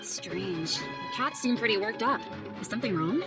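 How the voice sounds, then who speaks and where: A woman's voice: mid-range voice, lively intonation, normal speed, lightly acted. A woman playing a troubled, polite young scholar in a game cutscene, speaking earnestly and a little hesitantly. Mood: curious, fond. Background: loud music.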